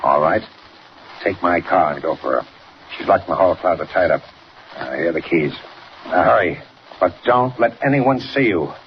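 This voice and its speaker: A very low-voiced villainous factory owner in a 1940s radio serial. He gives curt, impatient orders with sly menace. The old recording is dull and noisy. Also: expressive, moderate pace, long pauses. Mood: scheming.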